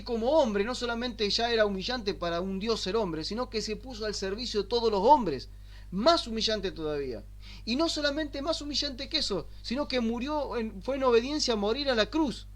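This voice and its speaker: An Argentine man preaching a sermon, asking rhetorical questions and slowing down to stress the scripture he quotes. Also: sits high. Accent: Argentine Spanish. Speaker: pastor. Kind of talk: sermon teaching.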